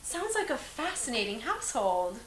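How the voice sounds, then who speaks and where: A woman's voice: a little high, very animated, moderate pace. A woman playing a sympathetic, confiding teenage girl in an amateur dramatic dialogue reading. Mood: admiring, curious. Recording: roomy echo, hiss in background.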